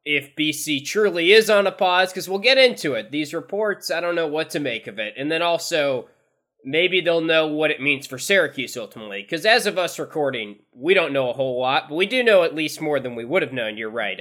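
A man's voice: fairly high pitch, expressive, brisk, sharp tone. A man co-hosting a casual sports podcast, easygoing and conversational. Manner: conversational, easygoing, laughs along. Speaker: podcast co-host.

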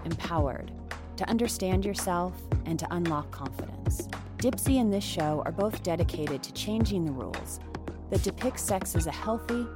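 A woman, a show's creator, reading a scripted sponsor message. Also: fairly low pitch. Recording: music behind the voice. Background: music.

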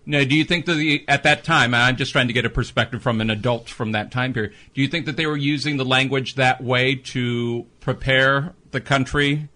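A man's voice: medium pitch, steady tone, fairly quick, few pauses, bright, forceful tone. A man interviewing conversationally, probing and rephrasing his questions as he goes.

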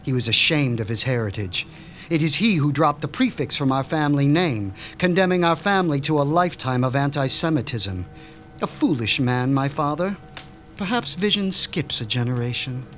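Man doing a pompous mad-scientist doctor, kinda high voice, super formal and precise, audio drama. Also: expressive, normal speed, a bit bright, soft background music. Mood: measured. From Switzerland. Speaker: mob doctor. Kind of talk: radio drama acting.

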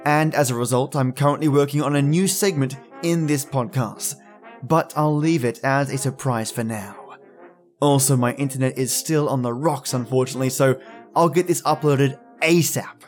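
A man's voice: mid-range voice, moderate pace. A man hosting a podcast, giving a scripted introduction addressed to his listeners. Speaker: podcast host. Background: music.

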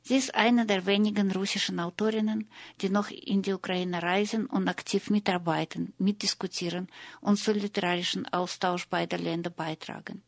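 A woman reading scripted narration for a radio feature in a measured, even way. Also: mid-range voice, gravelly, dry recording.

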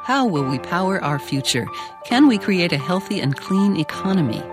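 A woman with a low voice reads a polished, upbeat promotional voice-over. Clear music plays beneath her. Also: very animated, moderate pace. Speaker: announcer.

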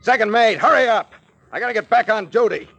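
An actor playing a tough, commanding sea captain with blunt slangy talk in a 1940s radio drama. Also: male voice, sits high, fairly quick, creaky, sharp tone, slightly rough, no room sound, highs cut off, full character voice. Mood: urgent bluffing. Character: tough, brusque male sea captain adventurer. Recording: dry vintage 1940s radio recording.

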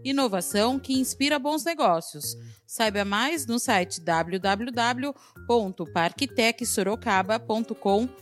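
A woman's voice: a little high, animated, unhurried, faint music behind. A woman with a Brazilian accent reads a scripted news podcast as its presenter. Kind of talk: scripted narration.

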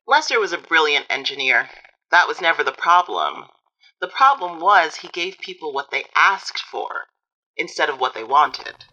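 Female interviewed author, slightly low and crisp-bright, dry storyteller for a radio documentary. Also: lively intonation, normal speed, muffled recording, full character voice. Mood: wry, explanatory. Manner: dry, matter-of-fact storytelling. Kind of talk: documentary interview in audio fiction.

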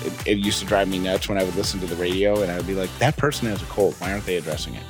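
A man's voice: low voice, racing, over music. A man chatting casually as the co-host of a podcast. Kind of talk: casual chat.

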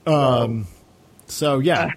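A man's voice: medium pitch, drawn-out words. A man co-hosting a podcast in casual, rambling, hesitant chat. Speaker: podcast co-host.

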